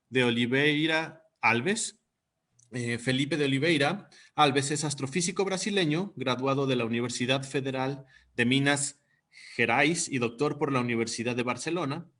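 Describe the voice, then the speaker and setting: A man hosting an online science day, introducing the guest speaker in a halting way and stumbling over foreign names. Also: medium pitch, a bit bright. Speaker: event host.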